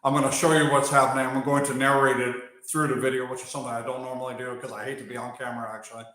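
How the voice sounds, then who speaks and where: A man from Germany with an American accent, hosting a live stream on his wildlife channel and talking unhurriedly with many pauses while he reads viewer chat. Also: medium pitch, little pitch movement, fairly bright tone. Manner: unhurried, pausing with fillers, answering chat between thoughts. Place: outdoors or open area while working on a bike. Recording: very echoey space.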